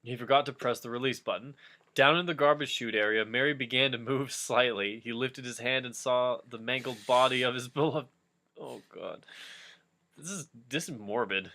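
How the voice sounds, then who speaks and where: A man's voice: mid-range voice, moderate pace, sharp tone. A young adult man reading a story casually for comedy, breaking in with wry asides. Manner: wry, interjecting. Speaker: co-reader and wisecracking commentator.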